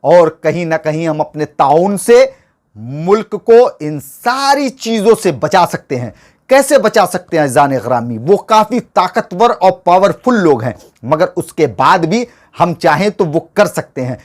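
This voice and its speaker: A man's voice: on the high side, big pitch swings, unhurried. A man hosting a political commentary show, speaking to viewers in an earnest, rhetorical and formal oratorical way. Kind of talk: scripted news commentary addressed to viewers.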